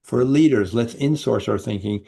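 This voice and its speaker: A middle-aged male host with a gravelly, fry-laden voice at an ordinary pitch. He chats with his guest as a fellow coach, keen and quick to chime in, stumbling over the odd restart. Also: dark tone. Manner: enthusiastic, quick interjections, some stammering restarts. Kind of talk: interview host chat.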